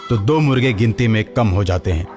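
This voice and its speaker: A man's voice: fairly low pitch, lively intonation, a little fast, a little mellow. A man narrating a scripted cartoon story as the storyteller. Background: background music.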